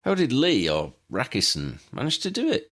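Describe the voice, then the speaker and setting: A man's voice: mid-range voice, wildly swooping pitch, normal speed, a bit bright. A man voicing a blunt, informal, puzzled young male friend in an audiobook.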